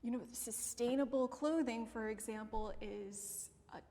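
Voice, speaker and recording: A woman with a creaky voice explaining her view in a group discussion. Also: on the high side, a little slow, warm tone. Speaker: discussion panelist. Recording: reverberant room with faint background noise.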